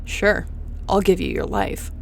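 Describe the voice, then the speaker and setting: A woman's voice: mid-range voice, huge pitch swings, unhurried, gravelly, heavily acted, low-quality recording. A woman playing a tough, defiant starship captain in a sci-fi audio drama, speaking bluntly and with command. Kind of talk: sci-fi audio roleplay acting. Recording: faint background noise.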